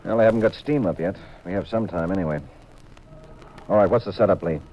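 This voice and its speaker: Actor as unruffled radio detective, muffled vintage recording. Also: male voice, sits low, quick pace, natural voice. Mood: brisk, probing. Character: unruffled, wry male private detective and husband. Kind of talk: 1950s radio drama acting. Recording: dull old radio recording, crowd noise.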